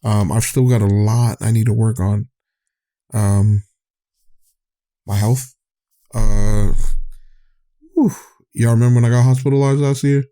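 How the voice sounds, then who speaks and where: A man's voice: fairly low pitch, fairly even intonation, measured pace, long pauses, warm tone. A man hosting his own podcast, talking in a candid, rambling way, often trailing off and restarting, his voice getting tired. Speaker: podcast host. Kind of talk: casual rambling monologue.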